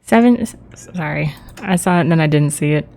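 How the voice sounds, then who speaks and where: A woman's voice: deep voice, a little fast, mellow. A woman livestreamer chatting casually with viewers as she plays a video game. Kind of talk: casual livestream chat with viewers while playing.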